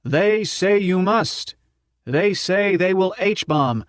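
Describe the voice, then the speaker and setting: A man voicing a fiery old professor giving a revolutionary speech in an audiobook, declaiming with deliberate pauses. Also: high-pitched, animated, no echo, clean studio sound, pitched above the performer's own voice, full character voice. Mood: defiant, rousing.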